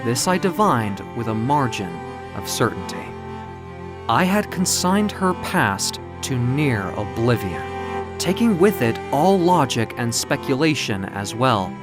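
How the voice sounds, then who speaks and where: A man's voice: mid-range voice, big pitch swings, unhurried. A man voicing a proud, capricious ancient magical being in florid, theatrical storybook narration. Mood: amused, assured. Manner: florid, theatrical, self-satisfied. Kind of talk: dramatic first-person storybook narration. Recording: music behind the voice. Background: music.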